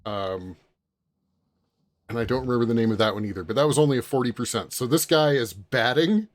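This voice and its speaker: A man hosting a panel podcast in a casual, conversational way, often pausing to find his words. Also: medium pitch. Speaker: podcast host leading a panel.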